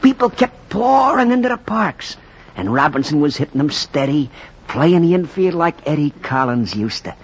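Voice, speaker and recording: An actor playing a folksy, colloquial baseball storyteller in a 1940s radio drama. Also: male voice, fairly high pitch, very animated, moderate pace, breathy edge. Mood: reflective. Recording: noisy, muffled 1940s radio recording.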